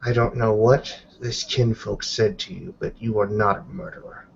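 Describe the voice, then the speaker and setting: A man playing an earnest, protective female werewolf in a tabletop game, pleading in a measured, firm way. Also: lowish voice, fairly even intonation, vocal fry, lo-fi sound, slight background noise.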